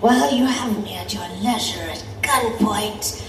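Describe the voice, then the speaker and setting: A woman's voice: mid-range voice, measured pace, a little hiss or hum, lightly acted. A woman playing a predatory siren sea monster in an audio drama, mocking, haughty and theatrical, savoring her formal words. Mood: taunting, haughty. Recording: roomy, distant voice over music.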